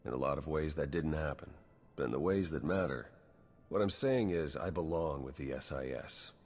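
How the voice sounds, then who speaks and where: A man's voice: rumbling low, normal speed, a little mellow. A man playing a loyal, world-weary intelligence agent in a video game, with plain-spoken, clipped delivery. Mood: resolute. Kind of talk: video game dialogue acting.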